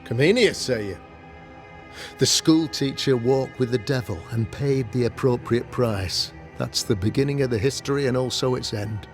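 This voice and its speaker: An actor playing a pompous, self-righteous colonial governor and preacher, speaking in formal, oratorical period language in a video game. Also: male voice, mid-range voice, moderate pace, soft background music, close to an everyday voice. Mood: haughty. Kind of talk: theatrical period drama sermonizing.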